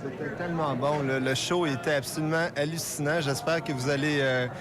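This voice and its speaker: A man's voice: fairly high pitch, steady tone, fast. A man giving an exuberant, repetitive vox pop reaction outside a concert arena. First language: French. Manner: exuberant, repeats words. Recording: crowd noise outdoors.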